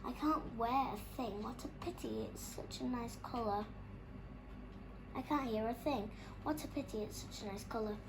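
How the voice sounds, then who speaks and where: A woman voicing a grumpy but kindly male badger in a children's storybook, gruff and emphatic. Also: fairly high pitch, moderate pace, noisy background, close to an everyday voice. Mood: regretful.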